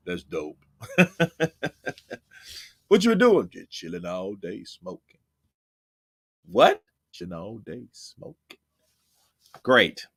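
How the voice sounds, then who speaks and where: A man's voice: low voice, huge pitch swings, takes it slowly, pauses often. A young man doing casual, profane live-stream commentary on boxing and chatting with his viewers. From Texas, United States.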